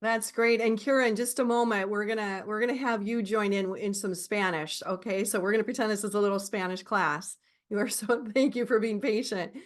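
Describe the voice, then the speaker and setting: A woman in her forties from Minnesota, hosting a casual chat, animated and encouraging. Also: mid-range voice, a little fast. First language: English. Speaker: podcast host and Spanish teacher.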